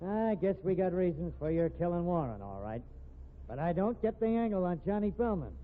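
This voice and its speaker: An actor playing a blunt, slangy, hard-boiled investigator in a 1950s radio drama. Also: male voice, high voice, big pitch swings, a little fast. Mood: skeptical. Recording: tinny band-limited old radio recording, hissy.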